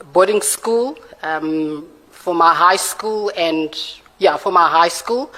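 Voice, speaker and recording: A Zimbabwean woman in her forties answering formal interview questions in a measured, careful and hesitant way, with a Zimbabwean accent. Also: on the low side, a bit bright. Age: 40s. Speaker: candidate for judge being interviewed. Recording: reverberant hall sound.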